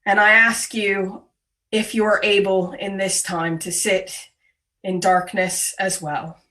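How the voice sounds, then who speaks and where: A woman leading worship, reading prayers and reflections gravely and deliberately in short, weighted phrases over a video call. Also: mid-range voice, little pitch movement, bright, forceful tone, distant mic. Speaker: worship leader. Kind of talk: solemn scripted prayer and reflection.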